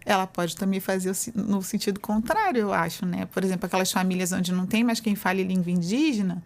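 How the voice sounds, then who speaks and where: A woman's voice: mid-range voice, quick pace, gravelly, darkish tone. Chatty Brazilian woman professor explaining. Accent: Brazilian Portuguese. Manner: explanatory, uses many fillers like "né". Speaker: university professor, guest. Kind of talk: conversational interview answers.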